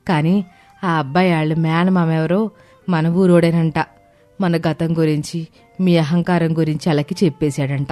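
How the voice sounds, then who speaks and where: A woman's voice: low voice, dark tone, pitched below the performer's own voice, close to an everyday voice. A woman voicing a worried, weary middle-aged village wife, plaintively recounting bad news in a moral story.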